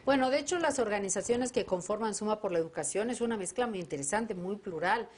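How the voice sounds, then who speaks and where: A woman's voice: medium pitch, animated, quick pace, darkish tone. Mexican woman host in her fifties, conversational, speaks to camera, mid-range voice. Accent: Mexican Spanish. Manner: conversational, addresses viewers directly. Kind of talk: televised discussion.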